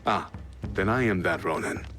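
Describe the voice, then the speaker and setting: An actor playing a loyal, weary ronin in a video game, speaking earnestly in formal, measured phrases. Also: male voice, low-pitched, sharp tone, faint music behind. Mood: earnest, troubled. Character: loyal, weary male ronin, gruffly honorable outcast warrior. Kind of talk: video game cutscene acting.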